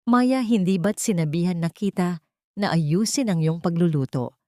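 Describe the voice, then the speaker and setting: A woman's voice: fairly low pitch, normal speed, darkish tone, dry recording, lightly acted. A woman storyteller plays a rich older matriarch in an audio drama, harsh and domineering. She scolds imperiously in a dry recording. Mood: angry scolding. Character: harsh, domineering wealthy older mother-in-law.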